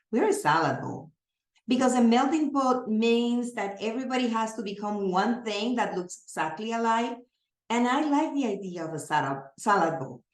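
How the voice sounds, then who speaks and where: A woman with a Spanish accent, a native Spanish speaker, giving an earnest training presentation on serving families, explaining with everyday examples. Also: mid-range voice. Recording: roomy echo.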